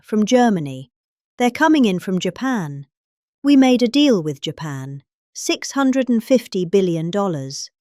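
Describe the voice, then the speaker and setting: A woman's voice: mid-range voice, darkish tone, no room sound, studio quality. A middle-aged woman with a British accent giving a dramatic reading of a political speech with exaggerated emphasis. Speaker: reader.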